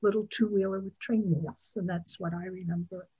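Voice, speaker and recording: Female from New York, husky, conversational storyteller. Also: fairly low pitch, fairly even intonation, moderate pace, mellow, muffled recording. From the Bronx and suburban New York. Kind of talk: casual personal storytelling. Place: video call.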